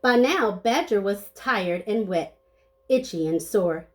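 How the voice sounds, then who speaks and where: Lady doing a kids book read aloud, super expressive up and down voice, really slow, plays up the sound words. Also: mid-range voice. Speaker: storybook reader. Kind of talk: animated children's read-aloud with short asides to listeners.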